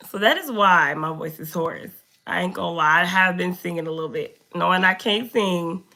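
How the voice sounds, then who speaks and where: A young woman with a hoarse voice chatting casually with her viewers while reading their comments. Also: sharp tone. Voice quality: low, slightly deep voice. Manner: chatty, talks to viewers, drifts off topic. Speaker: makeup streamer.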